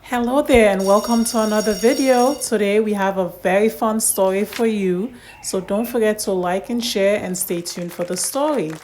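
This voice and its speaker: A woman hosting and narrating a read-aloud story for young children in simple, deliberate phrasing. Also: medium pitch, a little mellow, slight background noise.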